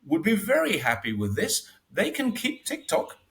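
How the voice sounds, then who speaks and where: A man's voice: high-pitched, huge pitch swings, normal speed, a bit bright. A man as an interview guest, talking in a casual, wry way and acting out quotes with short laughs. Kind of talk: casual conversational commentary.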